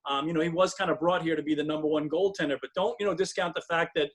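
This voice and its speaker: Male sports broadcaster as interview guest, slightly high voice, very quick delivery, emphatic and detailed. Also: steady tone. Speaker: radio play-by-play hockey broadcaster, interview guest. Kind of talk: interview answers, sports analysis.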